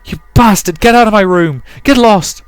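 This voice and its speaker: A man's voice: sits high, normal speed, smooth, no echo, faint music behind, pitched above the performer's own voice, natural voice. A man voicing a distraught, volatile young noblewoman in a visual novel, with a dramatic, pleading manner. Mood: furious.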